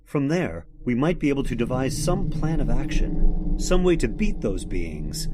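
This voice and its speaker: Earnest horror-play hero, played by a man: worried, expressive, dramatic storytelling over music, old-time radio style. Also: medium pitch, unhurried. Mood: somber.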